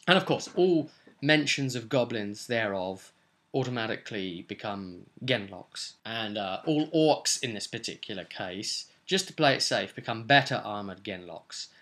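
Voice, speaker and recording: A man's voice: fairly low pitch, lively intonation, a little slow, a bit bright. A man in his twenties casually recapping a tabletop role-playing session as its game master, explaining things as he goes. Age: 20s. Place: small cluttered room at home.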